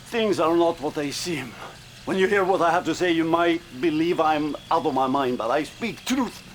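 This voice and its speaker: A man's voice: on the high side, normal speed, lightly acted. A secretive Soviet scientist played by a man pleads. He is urgent and conspiratorial, in dramatic game cutscene style, over faint noise. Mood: urgent, fearful.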